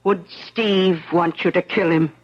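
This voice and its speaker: Brief: a caring, anxious wife, soft pleading voice, 1970s radio drama sound. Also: female voice, fairly low pitch, fairly even intonation, measured pace. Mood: worried. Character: gentle, worried wife. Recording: dull old radio broadcast.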